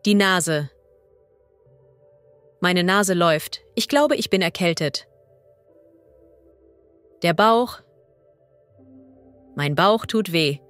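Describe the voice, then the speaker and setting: A woman as a language teacher reading idioms and vocabulary slowly and clearly. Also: on the low side, expressive, a bit bright, dry recording, faint music behind. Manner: slow, clear and deliberate.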